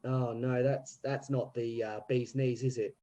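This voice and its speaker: Brief: man improvising a rambling British small-time crook, mid-pitched, on a dull lo-fi mic. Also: little pitch movement, unhurried, mellow, natural voice. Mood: scheming. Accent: put-on British. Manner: rambling, full of restarts and slang. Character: scheming slangy British male petty crook. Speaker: improv player. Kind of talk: improvised comedy scene.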